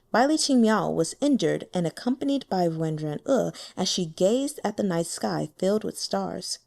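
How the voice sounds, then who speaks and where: A woman reading a translated fantasy novel aloud as an audiobook, with expressive, measured storytelling. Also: medium pitch, vocal fry. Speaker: audiobook reader.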